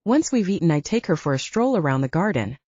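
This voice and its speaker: A woman narrates an audiobook with a mellow, relaxed tone. Her pitch moves around in a lively way as she tells a first-person story. The recording is dry and close, slightly muffled. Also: fast, husky, dry recording.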